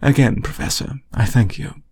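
A man's voice: medium pitch, moderate pace, warm tone, husky. A mild, courteous male teacher, played by a man narrating a story. He speaks cordially and with dry wit, in a fairly everyday voice. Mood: soft, grateful. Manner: mild, cordial, dryly amused. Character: polite, wry, soft-spoken male teacher.

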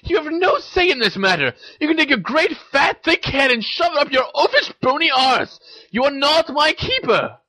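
A man's voice: high-pitched, big pitch swings, a little fast, dry recording, pitched above the performer's own voice, poor audio. A man voicing a fiery, proud teenage witch in an audiobook-style story, with emphatic delivery. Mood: furious. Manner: scolding, emphatic when angered. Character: fiery, indignant teenage witch, girl with stubborn pride.

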